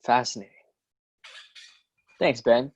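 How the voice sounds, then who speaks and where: A man with a medium-pitched voice interviews in a conversational style. The sound is very dry, with no room echo. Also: takes it slowly, darkish tone, muffled recording.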